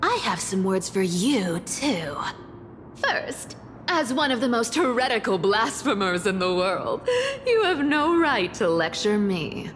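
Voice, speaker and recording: An actress playing a mocking, playful catlike trickster demigod in a video game. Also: female voice, medium pitch, very animated, a little slow, a little hiss or hum. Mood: taunting. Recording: game audio with long reverb and music.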